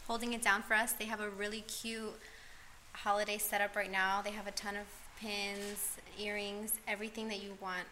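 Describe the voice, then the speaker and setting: A woman's voice: medium pitch, level tone, moderate pace, sharp tone, a little hiss or hum. A young woman co-hosting a podcast, enthusiastic and gushing, laughing often in casual chat. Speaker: podcast co-host.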